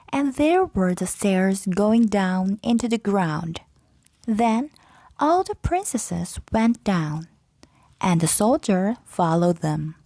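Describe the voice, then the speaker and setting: A woman narrating a children's fairy tale aloud from a script, with deliberate, clearly phrased delivery. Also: mid-range voice, warm tone, dry recording. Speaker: storybook narrator.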